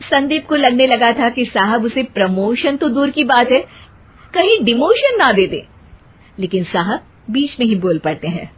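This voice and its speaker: A woman's voice: on the high side, big pitch swings, a little slow, slight background noise. A woman narrating a scripted children's cartoon story. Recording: muffled, narrow phone-like sound.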